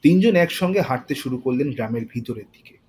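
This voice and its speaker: A man's voice: mid-range voice, lively intonation, brisk, darkish tone. A man narrating a scripted thriller story in a dramatic storytelling style. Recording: faint noise and ambience behind voice.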